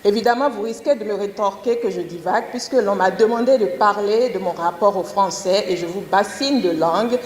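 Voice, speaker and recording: A woman's voice: medium pitch, a little mellow, a little hiss or hum. A woman from Benin, a native Gun speaker, giving a formal, eloquent and wry speech at a forum. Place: conference hall. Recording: echoey hall.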